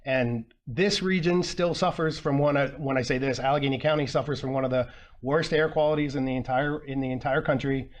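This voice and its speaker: A man's voice: medium pitch, steady tone, brisk. A man giving a presentation at a conference, earnest and often restarting phrases with fillers. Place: conference room.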